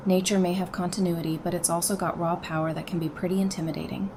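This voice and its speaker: An actress playing a frank, determined and playful psychiatrist surviving the apocalypse, talking informally in an audio drama. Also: female voice, lowish voice, level tone, fast, lightly acted. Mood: uneasy, candid. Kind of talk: fan audio drama acting. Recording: clearly noisy, with ambience behind.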